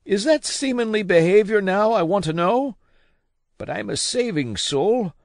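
A man voicing a weak, feverish old sea captain who curses and pleads in rough sailor talk. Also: high-pitched, expressive, measured pace, dry recording, studio quality, voice pushed up. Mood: agitated. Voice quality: feeble, wheedling.

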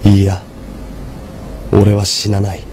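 An actor playing a composed, commanding young mafia lord in an audio drama, terse and resolute. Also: male voice, on the low side, monotone, takes it slowly, dark tone, a little hiss or hum. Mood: firm resolve. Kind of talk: Japanese drama CD acting.

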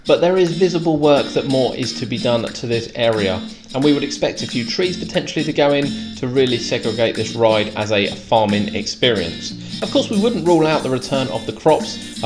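A British man giving a descriptive tour commentary as a theme park vlogger. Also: mid-range voice. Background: guitar music.

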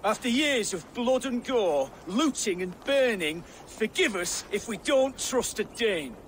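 An actor playing a distrustful Briton refugee in a video game. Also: male voice, sits high, expressive, measured pace, slight background noise. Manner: suspicious, then cooperative. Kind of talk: video game cutscene acting.

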